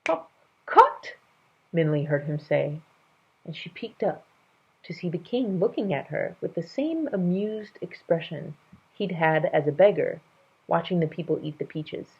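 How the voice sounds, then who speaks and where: A woman narrates a storybook in an expressive read-aloud style. Her voice is fairly low and husky, with very animated intonation, and the recording is a little muffled. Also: normal speed.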